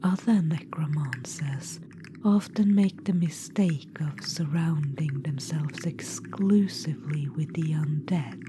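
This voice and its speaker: A woman voicing a vain undead witch-queen necromancer in an ASMR roleplay, whispering softly and calling the listener darling. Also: sits low, slow pace. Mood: smug whisper. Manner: soft intimate whisper, calls listener darling; smug, boastful, self-satisfied. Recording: whisper with steady background noise.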